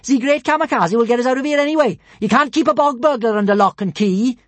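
A man voicing a boastful, fierce young Viking girl who shouts high and fast. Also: dry recording, heavily acted. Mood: defiant. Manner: shouting, cocky, high and bright.

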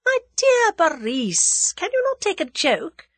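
A woman's voice: very high-pitched, big pitch swings, takes it slowly, a bit bright, dry recording, pitched above the performer's own voice, exaggerated voice. A woman voicing a scornful, worldly female schemer in an audiobook, with a haughty, airy, taunting delivery and cool amusement. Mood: light amusement. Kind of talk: dramatised audiobook character dialogue.

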